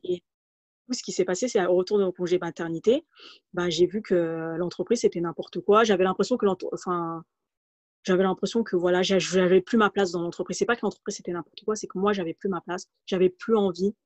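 A woman's voice: fairly low pitch, steady tone, fairly quick, a little mellow, no echo. A woman in her thirties from the Paris region, telling her career story as an interview guest and speaking hesitantly, with many fillers and restarts. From France, Paris region. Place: home room, video call. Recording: dull, lo-fi video call audio.

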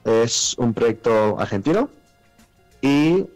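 A man's voice: mid-range voice, big pitch swings, takes it slowly, a little mellow, low-quality recording. A man speaking as a guest on a radio phone interview, explaining things as an event organiser. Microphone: telephone call. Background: music.

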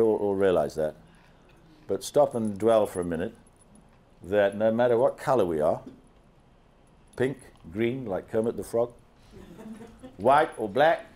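A man's voice: on the low side, measured pace, long pauses. Older Zambian-born man giving a slide talk. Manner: animated, asks the audience questions, gestures at slides. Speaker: guest speaker. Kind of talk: slide presentation to an audience. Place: large banquet hall with seated audience. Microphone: handheld microphone.

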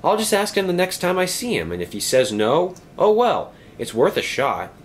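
A man's voice: high voice, big pitch swings, moderate pace, a little hiss or hum, pitched above the performer's own voice. A man doing a cheerful, upbeat toy engine. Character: cheerful, friendly male engine.

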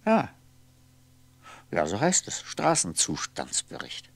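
An actor playing a lonely man who mutters to himself in a wry, halting way in a 1960s German radio play. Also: lowish voice, wildly swooping pitch, dry recording, full character voice. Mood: musing, hesitant.

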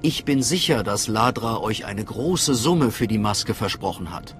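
An actor voicing a calm, sceptical scholarly steward of a cult in a video game, earnest and persuasive. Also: male voice, fairly low pitch, unhurried. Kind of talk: dramatic video game dialogue. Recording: faint music behind voice.

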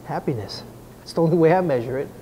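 A man's voice: fairly high pitch, expressive, quick pace, dark tone, slight background noise, poor audio. Blunt, casual businessman. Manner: blunt, conversational, frequent restarts. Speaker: business owner answering viewer questions. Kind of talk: casual Q&A chat. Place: indoor atrium of a mall or coffee shop. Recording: music under the voice. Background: background music.

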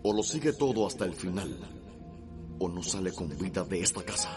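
An actor playing a menacing, domineering gentleman delivering ultimatums in a radio soap opera. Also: low-pitched, expressive, a little fast, over music. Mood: threatening.

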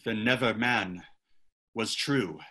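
A man's voice: lowish voice, takes it slowly, pushed and bright. A man playing a passionate, hot-tempered young Elizabethan playwright who declaims archaic verse. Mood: pleading. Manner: declaims archaic verse, swings to furious hissing. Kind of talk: audio drama acting.

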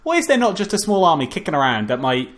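A man's voice: high-pitched, huge pitch swings, fast, fairly bright tone. A man co-hosting a film review podcast, chatting casually, rambling and swearing with frequent laughs. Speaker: podcast co-host.

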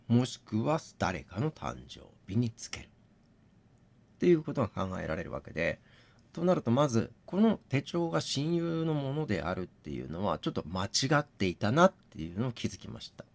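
A man doing gaming commentary, thinking out loud and reasoning step by step, mixed with reading text aloud. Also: medium pitch, vocal fry. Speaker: game streamer reading on-screen text aloud.

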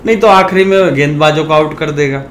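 Hoarse sick Indian man chatting fast. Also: on the high side, very noisy. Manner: chatty, addresses viewers as brothers, animated rants. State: sick with a sore throat. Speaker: fantasy cricket YouTuber. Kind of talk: casual talk to viewers.

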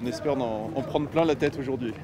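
A man's voice: mid-range voice, fairly quick. A middle-aged man chatting casually with children, like a father on an outing. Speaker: father visiting an air show. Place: crowded air show, outdoors and in exhibition halls. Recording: loud outdoor event and vehicle noise.

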